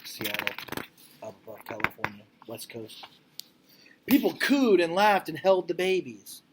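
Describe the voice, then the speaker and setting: A man reading a picture book aloud to children like a teacher, expressive and deliberate, with informal explanatory asides. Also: fairly high pitch, noisy background.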